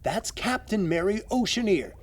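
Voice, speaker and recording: A man's voice: sits high, sing-song, normal speed, a little hiss or hum. A man acting as a confident, knowledgeable guide in an audio adventure drama, explaining things with emphatic storytelling. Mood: proud storytelling. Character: knowledgeable male guide and secret society insider, eager and confident.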